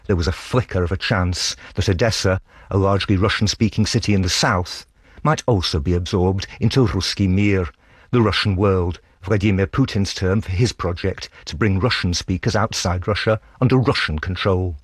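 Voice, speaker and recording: A man working as a foreign correspondent, reading a scripted first-person radio dispatch in a measured, literary storytelling manner. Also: low voice, breathy edge, no echo, clean studio sound.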